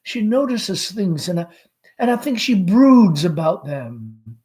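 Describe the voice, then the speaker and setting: An anxious, fussing mother of middle age , as a reader acts her out from a play. The voice is pushed high and flutters with stammers. The manner is dramatic and pleading, always worrying over a grown child. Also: sing-song, slow, warm tone. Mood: worried, pleading.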